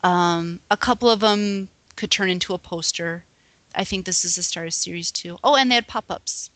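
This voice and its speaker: A woman's voice: on the low side, normal speed, fairly bright tone, no echo. A young adult woman co-hosting a podcast, chatty and giggly, with many fillers. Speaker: podcast co-host. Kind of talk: casual chat showing collectibles.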